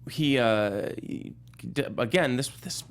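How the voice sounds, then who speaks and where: A man in an informal film discussion, speaking as a journalist on a talk show. He talks in a casual, halting way, often doubling back on his phrases, with a medium-pitched voice. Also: lively intonation. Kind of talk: casual film review chat.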